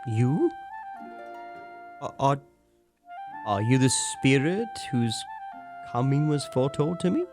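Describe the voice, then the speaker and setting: An actor playing a nervous, querulous old miser in a radio drama, stammering, repeating himself and pleading. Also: male voice, mid-range voice, sing-song, takes it slowly, long pauses, over music. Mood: wary. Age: older.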